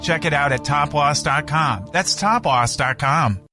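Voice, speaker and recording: A man's voice: fairly high pitch, unhurried, music in the background. A man reading a scripted radio commercial as its announcer.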